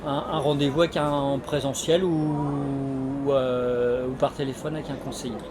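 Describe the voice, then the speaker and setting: A man of average pitch gives explanatory interview answers as an association representative. He speaks haltingly, often searching for his words. A very loud crowd fills the background. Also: little pitch movement. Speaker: energy renovation association representative. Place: community associations forum in a sports hall. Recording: loud crowd hubbub at a fair.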